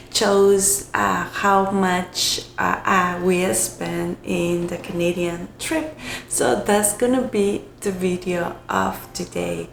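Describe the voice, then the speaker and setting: Young woman from Costa Rica, native Spanish, hoarse and raspy from a cold, unhurried to-camera vlog chat in an echoey room with faint hiss. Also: medium pitch. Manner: hesitant, self-correcting, unhurried. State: hoarse from illness. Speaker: vlogger.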